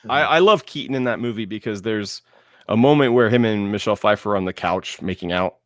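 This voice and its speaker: A man with a fairly low voice, recorded dry and close. He speaks as a panelist in casual chat, haltingly, circling back over his phrases as he thinks aloud. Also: dry recording. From lived in Georgia, US.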